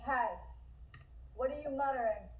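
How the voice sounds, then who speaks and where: A woman's voice: high voice, normal speed, roomy sound, lo-fi sound, natural voice. A woman acting a guarded, terse character in an online horror drama reading. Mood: taunting.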